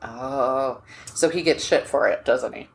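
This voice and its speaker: An American woman co-hosting a podcast, chatting casually with expressive, laughing asides and restarts. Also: rumbling low, measured pace. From United States. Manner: expressive, gestures and laughs, trails off mid-thought. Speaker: podcast co-host. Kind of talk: casual chat.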